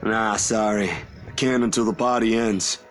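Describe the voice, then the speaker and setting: An actor voicing an easygoing, loyal friend with a relaxed, drawling manner. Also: male voice, fairly low pitch, a little slow, close to an everyday voice. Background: faint game music.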